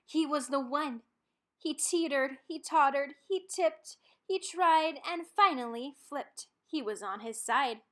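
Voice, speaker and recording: A woman's voice: high-pitched, takes it slowly. A woman reading a rhyming children's picture book aloud, expressively and with dramatic emphasis on key words. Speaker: storybook reader.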